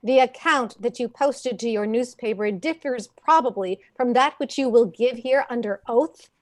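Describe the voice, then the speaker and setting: A woman's voice: a little high, normal speed, natural voice. An actress playing a stern, composed woman coroner who questions formally in an old-time radio drama. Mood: stern, skeptical.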